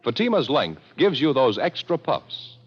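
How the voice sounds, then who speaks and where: A man delivering an advertising pitch as an old-time radio commercial announcer. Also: big pitch swings, a little slow, husky. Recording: muffled old radio recording, crowd-like din.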